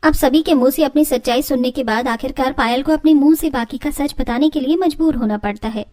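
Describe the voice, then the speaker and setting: A woman's voice: sits high, fairly quick, mellow. A woman reading scripted narration for a family moral story, like a storyteller.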